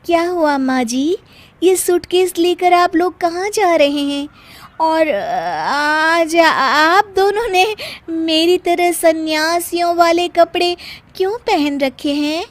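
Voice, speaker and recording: A woman voicing a smug young daughter-in-law who feigns pious innocence, sweet and affected, in an animated moral story. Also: very high voice, slow. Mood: alarmed.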